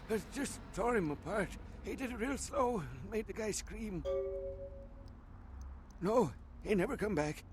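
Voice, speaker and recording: High-pitched, rattled street witness. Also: male voice, a bit bright, a little hiss or hum. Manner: rattled, rough uneducated street speech. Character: shaken street witness recounting a gruesome killing. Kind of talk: video game dialogue.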